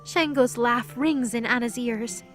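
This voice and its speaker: Female narrator, high-pitched voice, scripted storytelling, audio drama, faint music behind. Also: a little slow.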